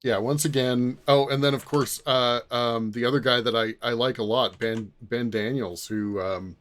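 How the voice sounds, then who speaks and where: A man speaks as a podcast co-host in an informal conversation. His voice sits at an average pitch for a man, and his phrasing is loose and conversational. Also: steady tone, moderate pace, fairly bright tone. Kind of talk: casual chat.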